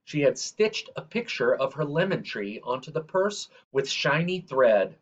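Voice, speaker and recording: A man reading a children's story aloud in a measured, expressive way, drawing out the ends of phrases. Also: fairly high pitch, muffled recording. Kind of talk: read-aloud scripted narration.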